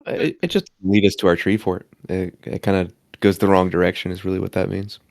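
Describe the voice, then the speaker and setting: A man's voice: low voice, flat delivery, brisk, gravelly, no echo. A man playing in a tabletop game, chatting casually with a dry, teasing edge. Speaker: player.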